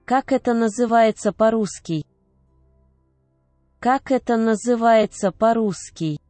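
A woman reading short phrases aloud one at a time, each clearly enunciated and measured. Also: a little high, warm tone, no room sound, soft background music. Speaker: Russian phrase reader. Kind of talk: slow repeated phrase reading.

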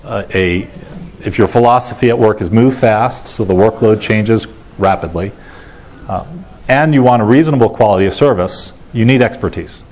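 A middle-aged American man giving a technical conference talk in a measured, matter-of-fact way, pausing often as he goes. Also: lowish voice, a little mellow. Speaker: conference keynote speaker. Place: large lecture auditorium, indoors. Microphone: lapel mic.

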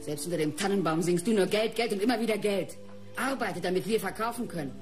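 An actress playing a painter's bitter, sharp-tongued wife in a German radio crime drama, caustic and weary. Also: female voice, mid-range voice, fast, over music, lightly acted.

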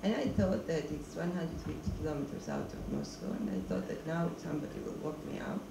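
A middle-aged woman with a gravelly, creaky voice, speaking into a handheld mic on a stage. She pauses often to hunt for words in English. The sound is echoey and noisy, picked up from a distance in a screening hall. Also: low voice, big pitch swings. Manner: searching for words, non-native English. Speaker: documentary filmmaker, guest. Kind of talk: stage Q&A interview.

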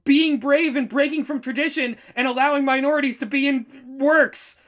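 A man with a bright, forceful voice chats casually as a co-host. He is excitable and mocking as he reacts. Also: extremely high pitch, normal speed. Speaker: co-host, audio drama writer.

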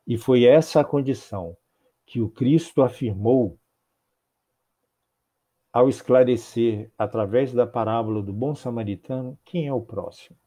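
A man in his sixties with a Brazilian accent, giving a religious talk from a home office over a video call, in measured, rhythmic phrases. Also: medium pitch, warm tone. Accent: Brazilian Portuguese. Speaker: spiritist lecturer. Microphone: earphones with mic on a video call.

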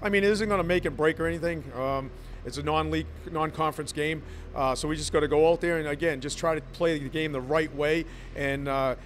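A man's voice: a little high, steady tone, slight background noise. Middle-aged male coach in a post-game interview. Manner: measured and frank, with many uhs. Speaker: head coach. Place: indoor arena corridor. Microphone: handheld mic held close.

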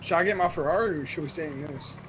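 Male gamer, higher-pitched voice, loose profane slang, casual play chat, car noise behind. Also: quick pace. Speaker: online roleplay gamer. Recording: noisy game audio with engine sounds. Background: game car noise.

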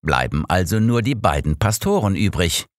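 A man's voice: deep voice, very animated, moderate pace, no echo, clean studio sound, voice pushed up. A man voicing an old master detective in an audiobook, composed, inquisitive and matter-of-fact. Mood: reflective, analytical.

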